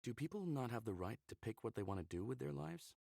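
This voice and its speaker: A man's voice: medium pitch, sing-song, quick pace, vocal fry, dark tone, husky, no echo, studio quality, full character voice. A man playing a friendly, curious human lover in a visual novel, speaking casually and inquisitively. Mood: curious, sympathetic. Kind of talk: visual novel character acting.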